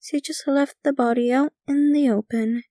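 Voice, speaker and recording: A woman playing a possessive, centuries-old vampire girlfriend in an intimate ASMR roleplay, speaking in an unhurried, doting yet casually sinister way. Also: high voice, steady tone, dark tone, dry recording, studio quality, natural voice. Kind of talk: ASMR roleplay, intimate scripted monologue to the listener.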